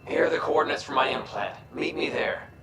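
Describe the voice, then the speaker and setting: An actor playing a gruff, intense and driven male alien soldier in a video game. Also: a little high, brisk, over a noisy crowd. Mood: grim. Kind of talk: video game cutscene acting.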